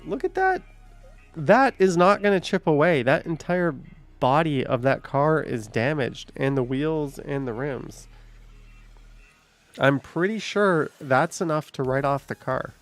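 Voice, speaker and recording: A man's voice: fairly high pitch, animated, measured pace, no echo, faint music behind. A man hosting a live stream, chatting casually, thinking aloud and swearing now and then.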